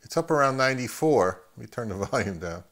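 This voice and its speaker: A middle-aged man giving a casual, unscripted gear demonstration, thinking aloud in halting phrases as he handles the equipment. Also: mid-range voice, expressive, a bit bright. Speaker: host of an audio gear review channel.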